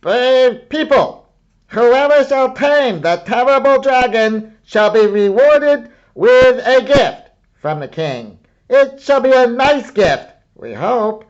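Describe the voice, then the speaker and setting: A man voicing a pompous royal herald who loudly proclaims the king's notice in a storybook. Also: extremely high pitch, expressive, slow pace, dull audio, voice pushed up, exaggerated voice. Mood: pompous, grand.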